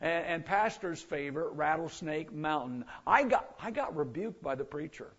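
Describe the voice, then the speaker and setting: An older man with a gravelly, rough voice, a visiting gospel singer at a church service, chatting folksily between songs. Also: on the high side, lively intonation, moderate pace, a bit bright. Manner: folksy, self-deprecating patter between songs. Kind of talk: church singing and chat. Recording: muffled, lo-fi with instrumental backing.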